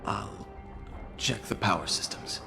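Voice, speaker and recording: An actor playing a gentle, awed male alien companion in a video game, with a gravelly voice. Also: lowish voice, takes it slowly, heavily acted. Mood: stunned, subdued. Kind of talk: video game cutscene acting. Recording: music behind the voice.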